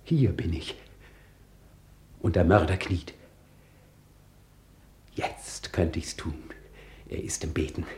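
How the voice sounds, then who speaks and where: An actor playing a bitter, resolute, vengeful prince in a radio tragedy, declaiming his monologue deliberately. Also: low voice, dark tone, echoey room. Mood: vengeful, brooding. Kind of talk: classical stage tragedy radio acting.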